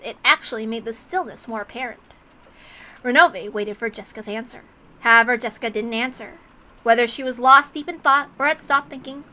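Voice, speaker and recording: A woman's voice: fairly high pitch, pushed and bright. A woman reading a visual novel aloud as narrator, in a dramatic scripted storytelling style. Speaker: narrator and reader.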